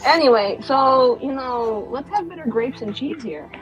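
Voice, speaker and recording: A woman's voice: high-pitched, expressive, normal speed, rough recording. A woman playing a tabletop game, chatting playfully and joking. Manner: playful, jokey. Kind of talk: casual chat while playing.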